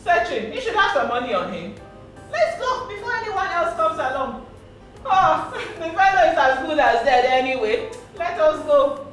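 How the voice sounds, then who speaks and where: A woman's voice: high-pitched, very animated, normal speed, recorded from a distance, voice pushed up. A woman voicing a ruthless male bandit, barking orders in a children's story. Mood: callous, commanding. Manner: barking, callous. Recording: echoey room, music behind.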